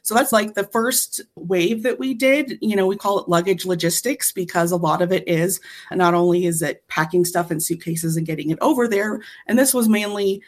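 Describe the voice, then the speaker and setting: Woman guest on an interview, voice a bit on the low side, earnest, explaining stuff. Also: normal speed. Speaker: interview guest, aid volunteer.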